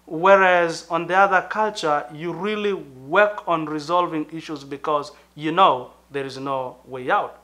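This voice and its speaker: Tanzanian pastor, bright earnest voice. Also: male voice, on the high side. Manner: earnest, measured, with thoughtful pauses and fillers. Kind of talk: sermon-like talk to camera.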